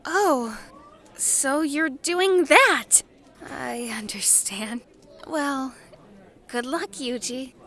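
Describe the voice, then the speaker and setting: An emotional anime schoolgirl, with an extremely high, bright voice. She speaks slowly and expressively in an audio drama style. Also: full character voice. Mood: sweet, teasing.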